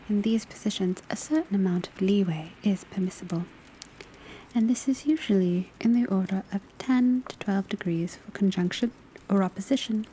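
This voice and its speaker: Soft whispering woman giving an ASMR lecture. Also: medium pitch. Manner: measured, instructional, precise. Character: calm female witch-school divination professor. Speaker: ASMR performer playing a divination class teacher. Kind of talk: ASMR roleplay, scripted classroom lecture. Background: crackling fireplace, rain on rooftop, pencil writing.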